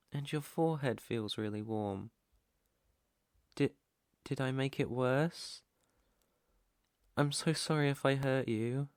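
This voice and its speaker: A British man playing a protective, gentle, clueless alpha werewolf in an intimate ASMR roleplay, speaking softly and reassuringly close to the mic. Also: mid-range voice, clear, resonant tone, dry recording, close to an everyday voice. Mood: concerned, gentle. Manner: soft, tender, unhurried, reassuring. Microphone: close microphone, dry.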